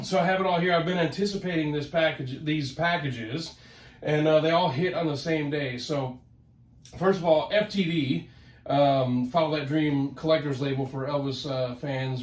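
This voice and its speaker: A man with a head cold, sounding nasal, hosting a casual collector vlog from his home office in an excitable, rambling way that repeats words. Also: fairly high pitch. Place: home office, ten-foot ceiling. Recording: roomy home office, mic at a distance.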